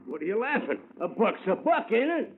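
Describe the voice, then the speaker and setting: An actor playing a penny-pinching American theatrical agent, slangy and hustling, in a 1950s radio drama. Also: male voice, high-pitched, very animated, fairly quick, loud music behind the voice. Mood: pushy, hustling. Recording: tinny noisy old radio.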